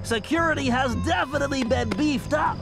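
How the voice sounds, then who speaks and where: Very high-voiced nerdy turtle. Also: male voice, fairly quick, vocal fry. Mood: urgent planning. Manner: precise, earnest, fussy. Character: nerdy, brainy male cartoon turtle, earnest tech planner. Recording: music behind the voice.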